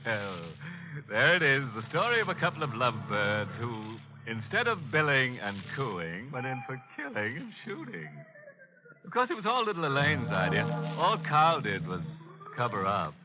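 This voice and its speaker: An actor plays a wisecracking, mock-sinister radio horror host. His mid-pitched male voice swings widely as he relishes each morbid pun. Music plays clearly underneath. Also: sing-song, normal speed, close to an everyday voice. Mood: gleefully sardonic. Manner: sardonic, punning, mock-sinister. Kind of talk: 1940s radio horror hosting. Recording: dull old radio recording.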